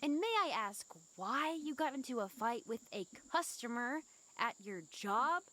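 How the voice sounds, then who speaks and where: A woman's voice: a little high, animated, a little slow, sharp tone. A woman voicing a stern but caring teenage schoolgirl, scolding yet concerned, in a fan dub of a visual novel game. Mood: annoyed, stern.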